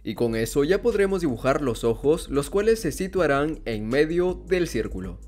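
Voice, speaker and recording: Male drawing tutor, fairly high voice with lively, rising intonation over faint music. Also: moderate pace. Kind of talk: step-by-step drawing tutorial narration. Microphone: voice-over over hands-on drawing footage.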